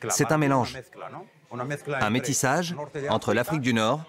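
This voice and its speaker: A man from Algeria, casually chatting to the camera in a relaxed, friendly way. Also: mid-range voice, a little slow, a crowd in the background. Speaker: local guide. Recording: loud music over voice.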